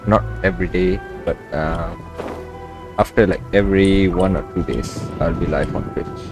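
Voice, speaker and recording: Deep-voiced man chatting over a game. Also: normal speed, low-quality recording. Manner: relaxed, chatty asides to viewers. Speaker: gaming streamer. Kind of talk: casual live gameplay commentary. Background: game music.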